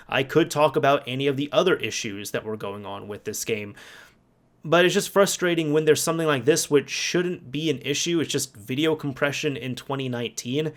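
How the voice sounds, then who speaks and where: A man hosts a casual gaming video in a conversational, emphatic way. His voice sits at an average pitch, and he sounds like an exasperated player explaining his complaints. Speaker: YouTube game commentator. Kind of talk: casual video commentary on games.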